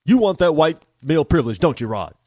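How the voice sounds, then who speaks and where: A man's voice: on the high side, very animated, quick pace, dark tone, no room sound. A man hosting a political talk radio show from his home studio, wry and teasing, often stammering and restarting his sentences.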